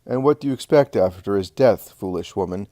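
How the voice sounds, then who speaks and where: A man's voice: mid-range voice, moderate pace, no echo, studio quality, close to an everyday voice. Man voicing male religious elder, pompous, insinuating, mocking, insistent, dramatic storybook reading. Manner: insistent, smooth-tongued questioning.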